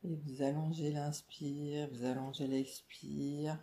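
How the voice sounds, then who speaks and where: A woman meditation teacher leading a guided relaxation in a calm, soothing, instructional way, with short phrases and pauses. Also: low voice, a little hiss or hum. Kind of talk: guided yoga nidra relaxation narration. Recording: roomy, echoing voice recording.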